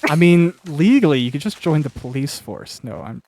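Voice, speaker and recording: A man's voice: fairly high pitch, sing-song, moderate pace, a little mellow. A man hosting a casual chat while playing a video game, rambling along, swearing casually and acting out imagined quotes. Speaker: podcast host interviewing a cast member.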